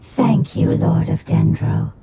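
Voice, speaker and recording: A woman voicing a solemn, devoted elemental spirit in a video game, with a breathy, whispery voice and formal phrasing. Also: low-pitched, lively intonation, slow, noisy background, exaggerated voice. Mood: grateful, hopeful. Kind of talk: scripted video game dialogue. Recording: music behind the voice.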